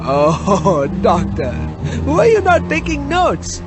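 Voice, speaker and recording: An actor playing a gleeful vampire cab driver with an Indian accent and a theatrically polite, menacing manner in a horror-comedy radio drama. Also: male voice, high voice, huge pitch swings, slow pace, music in the background, full character voice. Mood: mock-polite, explaining. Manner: theatrically polite, savoring each menacing phrase.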